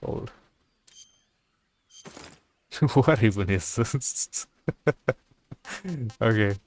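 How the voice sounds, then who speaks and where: Low-pitched man doing casual game commentary. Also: smooth. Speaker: gaming streamer.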